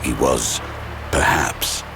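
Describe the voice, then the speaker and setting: An actor as the brooding narrator of a horror audio drama, reading scripted suspense in a gravelly voice. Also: male voice, rumbling low, takes it slowly, music in the background. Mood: ominous, measured.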